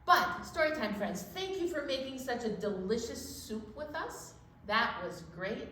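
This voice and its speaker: A woman presenting a children's storytime in a playful, animated way, moving between chatty talk and sing-along. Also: fairly high pitch, unhurried. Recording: very echoey room, distant mic, faint noise.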